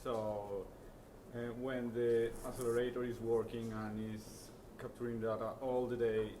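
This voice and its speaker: Male lab worker, Colombian accent, mid-range voice, hesitant explanatory delivery, clearly noisy indoor recording. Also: mid-range voice, flat delivery, a little slow. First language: Spanish. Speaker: lab worker being interviewed. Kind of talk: informal explanatory interview. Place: indoors in a remote operations lab. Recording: noisy on-the-spot interview in lab.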